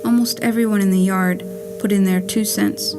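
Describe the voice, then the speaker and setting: A woman reading a scripted poetic monologue for a puppet play as a wry, whimsical letter writer from beyond the grave, in a measured, deliberate way. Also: medium pitch, steady tone, lightly acted. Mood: wry, wistful. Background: music.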